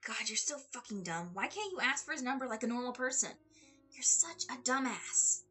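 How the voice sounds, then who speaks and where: An exasperated young man in a storybook reading, voiced by a woman. He is emphatic, incredulous and mocking, pushed up high and loud over background music. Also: lively intonation, normal speed.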